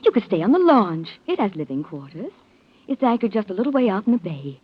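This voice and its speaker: An actress playing an intense, scheming heiress in old-time radio melodrama, speaking in a theatrical, emphatic way. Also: female voice, mid-range voice, big pitch swings, a little fast, slightly rough. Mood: coaxing, soothing. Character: scheming heiress, outwardly pleading and sympathetic, intense. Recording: muffled vintage radio recording.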